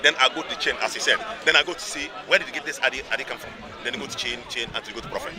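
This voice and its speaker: A man's voice: mid-range voice, very fast. A man, arguing insistently in a heated street debate and repeating himself to hold the floor. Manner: insistent, repeats "listen" over and over. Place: outdoor public speaking area. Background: crowd of onlookers.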